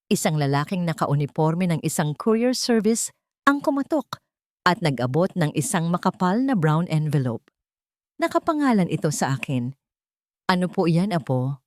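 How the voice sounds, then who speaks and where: Low-voiced woman, solemn dramatic storyteller. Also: a little mellow, no room sound, studio quality. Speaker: audio story narrator. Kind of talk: dramatic scripted first-person story narration.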